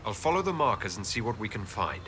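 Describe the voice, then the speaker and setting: An actor playing a composed, decisive elven leader in a video game, speaking calmly and with purpose. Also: male voice, on the low side, fast, slight background noise. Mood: calm, purposeful. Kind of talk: video game cutscene acting. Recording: long hall reverb, game music behind.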